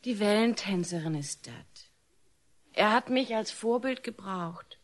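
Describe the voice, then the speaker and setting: An actress playing a spirited, defensive young daughter in a radio drama, protesting in colloquial speech. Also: female voice, mid-range voice, animated, measured pace, close to an everyday voice. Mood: defensive, exasperated. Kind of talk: German radio drama acting.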